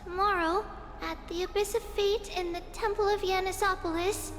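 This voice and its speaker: Very high-pitched little girl. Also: fairly even intonation, moderate pace, a bit bright, a little hiss or hum. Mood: earnest, conciliatory. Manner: earnest, pleading. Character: small, childlike girl peacemaker, earnest and polite. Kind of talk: anime-style fantasy game acting. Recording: long reverb, music behind. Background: music.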